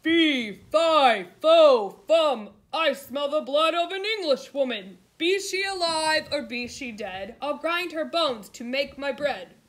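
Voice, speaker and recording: A woman's voice: animated, slow, hardly any pauses. A woman voicing a huge, greedy male giant who roars and bellows. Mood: menacing. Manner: roaring, booming.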